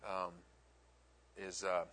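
A man's voice: low voice, monotone, extremely slow, fairly bright tone. A middle-aged man from the American South teaching a class, chatty and friendly, wandering into asides. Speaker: instructor. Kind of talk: classroom talk.

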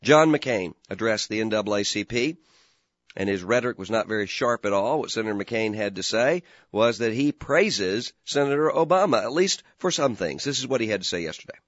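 Hoarse, gravelly talk radio host man. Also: lowish voice, animated, a little fast, dry recording, highs cut off. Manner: earnest, opinionated, repeats words when starting. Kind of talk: political talk radio commentary.

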